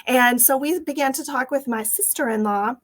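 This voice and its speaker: A woman's voice: high-pitched, normal speed. A middle-aged woman with a Texas accent, a franchise owner telling her story in an interview, earnest and rambling with fillers and restarts.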